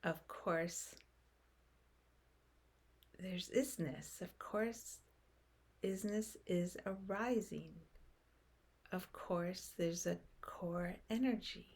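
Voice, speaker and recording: Woman doing guided meditation, gentle, kinda low voice, super slow w lots of pauses. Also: breathy edge. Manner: gentle, unhurried, with long pauses between short phrases.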